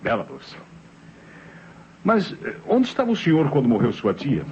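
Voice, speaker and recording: A man's voice: medium pitch, huge pitch swings, darkish tone. A man with a Brazilian accent acting a shrewd police inspector in an old radio crime drama, formal and courteous as he probes. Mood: smoothly insinuating. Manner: formal, probing, deliberately courteous. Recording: dull, noisy old radio drama recording.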